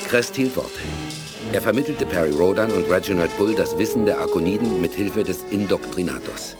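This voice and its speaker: A deep male narrator tells a radio play story from a script. Loud music plays underneath his voice. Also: brisk.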